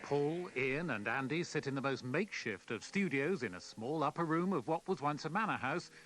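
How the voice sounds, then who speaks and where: A man's voice: a little high, expressive, moderate pace, fairly bright tone. A man with a British accent narrating a scripted radio news report as a correspondent.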